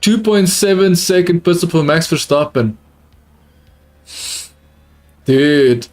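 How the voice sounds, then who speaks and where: A young man, not a native English speaker, with an accent close to British, reacting live to a race on stream in a casual, excitable way that often repeats itself. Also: on the high side, takes it slowly. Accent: close to British, not fully. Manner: excitable, repeats himself, calls viewers dude. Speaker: livestream host watching a race.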